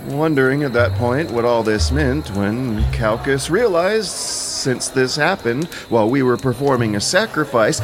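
High-voiced, wily Greek war orator played by an actor. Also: male voice. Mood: vivid, grave. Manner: theatrical, coaxing storyteller with ornate wording. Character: wily, folksy Greek commander rallying weary soldiers, male. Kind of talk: audio drama oratory. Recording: music under the voice.

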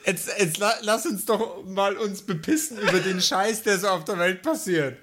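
Male podcast player, slightly high-pitched, colloquial and blunt, casual conversational delivery. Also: normal speed, fairly bright tone. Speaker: podcast player in an improvised audio play. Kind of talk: casual chat.

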